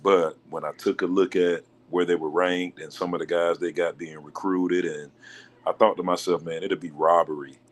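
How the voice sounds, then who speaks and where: A man with a deep voice, a basketball coach being interviewed on a local sports talk show, answering in a measured, polite way. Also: level tone, a little hiss or hum. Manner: measured, polite, says "yes, sir". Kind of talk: casual radio show interview.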